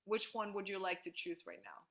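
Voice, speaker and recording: Woman, facilitator, video call, bright tone, enthusiastic, casual. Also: medium pitch, brisk. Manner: enthusiastic, frequent "like", laughs easily. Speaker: workshop facilitator. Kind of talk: casual group call coaching.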